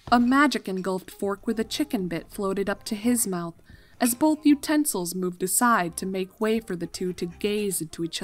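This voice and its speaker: A woman reading a fan fiction story aloud as an expressive narrator. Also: mid-range voice.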